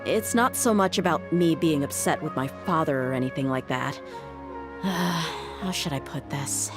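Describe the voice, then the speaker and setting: A woman's voice: sits low, a little slow. An actress voicing a frank, loyal young female mercenary bodyguard in an English-dubbed anime game, speaking in an earnest, plain way. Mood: hesitant, grave. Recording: game dialogue over loud music.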